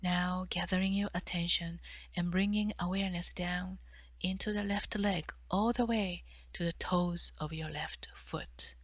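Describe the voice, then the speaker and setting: A woman guiding a meditation in a soft, breathy delivery with measured pauses between phrases. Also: fairly low pitch, fairly even intonation, no echo. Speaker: meditation guide, therapist. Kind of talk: guided meditation narration.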